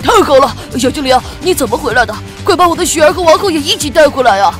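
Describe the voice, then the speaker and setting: A worried, anxious cartoon king pleads urgently, voiced by a woman. The voice sits high and moves around a lot, with faint music behind. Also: animated, fast.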